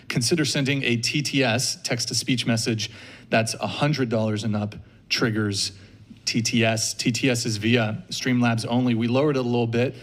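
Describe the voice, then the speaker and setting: A man hosting a podcast, running through show announcements briskly with deadpan jokes. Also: mid-range voice. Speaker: podcast host. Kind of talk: rapid-fire show announcements.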